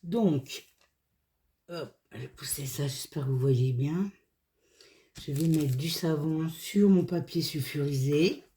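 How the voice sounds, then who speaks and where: A woman hoarse from a cold, chatting casually through a hands-on craft tutorial in short, unhurried phrases. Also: low voice, warm tone. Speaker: craft video creator.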